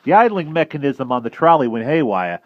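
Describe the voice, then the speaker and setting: A sly American man bluffing his way through as an admiral, as the male narrator puts him on. He sounds smooth and knowing, raised in pitch above the reader's natural voice. The recording is dry and slightly dull. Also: very animated, brisk, dry recording, lightly acted. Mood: hurried. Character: sly middle-aged man posing as admiral.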